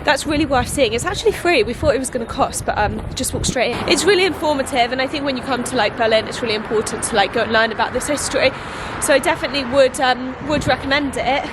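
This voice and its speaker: A woman's voice: high-pitched, fast talker. A woman with a stuffy, congested voice from a cold, chatting casually as she vlogs her city trip outdoors. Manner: chatty, with filler words and laughs. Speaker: travel vlogger. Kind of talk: casual travel vlog chat. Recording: outdoor street noise.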